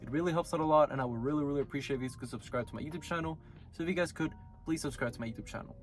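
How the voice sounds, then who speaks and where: A man hosting his own anime review channel, talking casually while sick, so his voice comes out hoarse and raspy as he rambles and corrects himself. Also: a little high, racing, soft background music.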